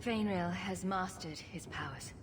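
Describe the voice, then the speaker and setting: A woman's voice: lowish voice, unhurried, noisy background, natural voice. A woman playing a sarcastic young rogue heroine in a video game, with a wry, dry delivery. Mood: earnest. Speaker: player character. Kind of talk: video game cutscene acting. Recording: music behind the voice.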